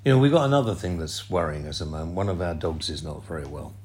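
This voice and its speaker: A man's voice: low voice, big pitch swings, fast, darkish tone, slight background noise. An older man, hosting a casual podcast chat and laughing often. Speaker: podcast host.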